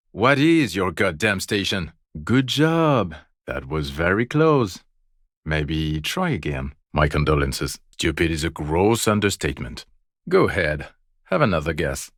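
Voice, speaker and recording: A man's voice: low-pitched, fairly bright tone, dry recording, exaggerated voice. A man voicing a jaded, foul-mouthed police detective in a video game, with dry, biting sarcasm and exasperation. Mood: mocking sarcasm. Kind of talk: video game character voice acting.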